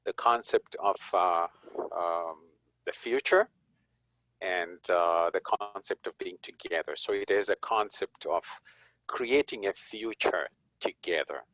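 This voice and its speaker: A man from Zimbabwe, a police chaplain and guest, talking in an unhurried, reflective way with frequent chuckles. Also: sits low, fairly bright tone, dry recording, low-quality recording. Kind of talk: conversational interview.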